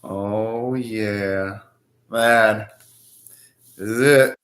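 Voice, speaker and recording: A man's voice: lowish voice, fairly even intonation, extremely slow, fairly bright tone. A young man hosting a live anime reaction, talking casually, ranting and swearing now and then.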